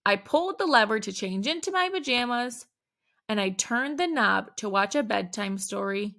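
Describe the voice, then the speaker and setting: A woman reading a children's picture book aloud, with animated, dramatic emphasis on the exclamations. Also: fairly high pitch, normal speed. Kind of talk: expressive read-aloud storytelling.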